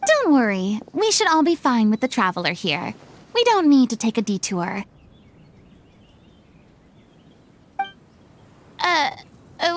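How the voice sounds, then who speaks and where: An actress playing a theatrical young former goddess who speaks grandly and drops French phrases, in a video game. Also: female voice, extremely high pitch. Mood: flustered.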